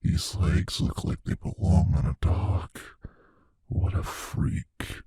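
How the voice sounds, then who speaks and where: A man playing a gleefully cruel monster from under the bed in an intimate ASMR audio roleplay, taunting and menacing in a breathy, whispery voice. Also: warm tone, no echo, clean studio sound, close to an everyday voice. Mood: gloating, cruel amusement. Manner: slow, taunting, menacing, savoring words.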